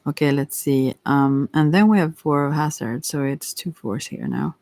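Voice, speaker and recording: A non-native English speaker giving a casual, unscripted tarot reading in a hesitant, halting way, searching for words. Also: medium pitch, darkish tone, no room sound. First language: not English. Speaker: tarot reader.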